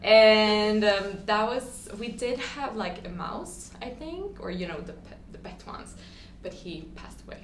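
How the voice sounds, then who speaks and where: A woman's voice: mid-range voice, expressive, measured pace. Chatty young woman from Sweden. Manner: chatty, lots of "like" and restarts, acts out quotes. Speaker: guest. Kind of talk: casual interview chat. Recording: echoey room, faint ambient noise.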